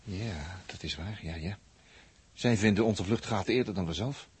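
An actor playing an excitable, brooding spaceship crewman who stammers and searches for words in a 1960s radio drama. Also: low-pitched, normal speed, husky. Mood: pensive, determined.

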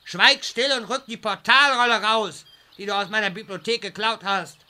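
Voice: medium pitch, moderate pace, bright, forceful tone. An actor playing a snide, bullying master wizard who rants with theatrical sarcasm in a fantasy parody audio drama. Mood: scornful. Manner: sarcastic, scornful, theatrical ranting.

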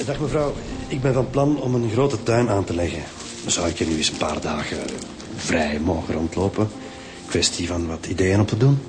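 A man's voice: sits low, lively intonation, normal speed, over music. An actor in a radio drama playing a polite, formal male professor with an eccentric streak. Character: courteous, eccentric male professor visiting a shop.

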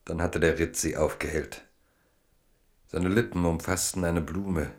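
A man narrating a 1970s literary radio play in a measured, lyrical manner. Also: deep voice, steady tone, vocal fry.

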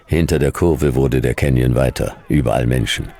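An actor playing a laconic, hard-boiled detective who narrates a sci-fi radio drama in terse, deadpan phrases. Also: male voice, a bass voice, normal speed, vocal fry. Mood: terse, brooding. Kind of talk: noir first-person radio drama narration.

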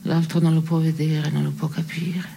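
An actress playing a disillusioned, caustic woman in an absurdist radio play, speaking in a terse, deadpan, sardonic manner. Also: sits low, moderate pace, creaky, slight background noise. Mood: weary, disillusioned. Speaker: stage actress. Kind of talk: theatrical dialogue in a radio play.

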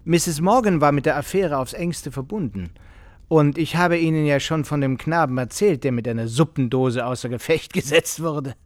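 An actor playing an overbearing, sarcastic husband in a German radio play, emphatic and insistent. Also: male voice, fairly high pitch, moderate pace, no echo, close to an everyday voice. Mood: sardonic, insistent. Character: overbearing, sarcastic middle-class husband and host, easily offended.

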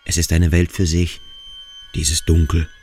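A man in a radio play acting a brooding, dreamy schoolboy who muses in deliberate, poetic phrases. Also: deep voice, monotone. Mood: hushed, brooding. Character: brooding, dreamy adolescent schoolboy lost in his sensations. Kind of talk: German radio play acting, introspective monologue and dialogue.

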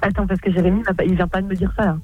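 A woman on the receiving end of a prank call, talking fast and protesting indignantly, repeating herself. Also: medium pitch, flat delivery, phone-quality sound, faint music behind.

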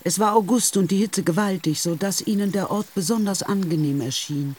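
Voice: medium pitch, brisk, a little mellow, slightly rough. A narrator reading literary prose in a scripted radio play. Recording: faint music behind the voice.

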